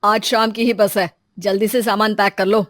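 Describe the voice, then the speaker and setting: A woman's voice: medium pitch, flat delivery, moderate pace, no room sound, studio quality, close to an everyday voice. A woman voicing an easygoing, eager young man in a storybook tale. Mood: eager, casual. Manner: casual, breezy. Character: eager, easygoing young male friend planning trips.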